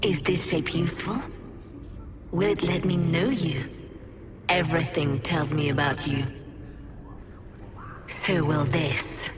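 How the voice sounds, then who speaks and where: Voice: medium pitch, steady tone, slow pace, long pauses, natural voice. An actor playing an eerie, probing, sinister shape-shifting demon in a fantasy video game. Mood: sinister, curious. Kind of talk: dramatic video game villain acting. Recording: clear background ambient noise.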